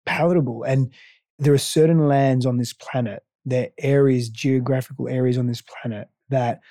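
A man's voice: mid-range voice, steady tone, moderate pace, mellow, no echo, studio quality. A man coach from Australia whose first language is Greek, talking earnestly and repeating words as he thinks. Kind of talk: casual interview chat.

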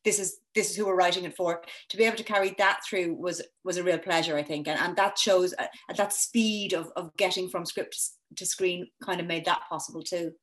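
A woman's voice: fairly low pitch, steady tone, brisk, hardly any pauses, fairly bright tone. An Irish woman filmmaker talking reflectively and fluently in an interview. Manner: fluent, reflective, with light laughs. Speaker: filmmaker, guest. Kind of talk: casual interview chat.

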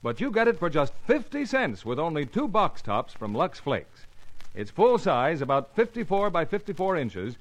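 An American man with a fairly high voice and animated intonation. He announces in the polished selling style of 1950s radio commercials. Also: normal speed. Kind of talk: 1950s radio advertising pitch.

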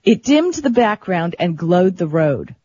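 Husky, chatty woman radio host. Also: on the low side, big pitch swings, measured pace, dark tone, dry recording. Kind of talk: casual tech talk radio chat. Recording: slightly dull, dry lo-fi radio stream.

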